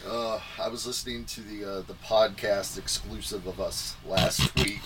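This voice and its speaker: A man's voice: lowish voice, steady tone, moderate pace, heavy background noise. A man hosting a casual podcast, joking around and acting out quotes in silly voices. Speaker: podcast host. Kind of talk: casual chat.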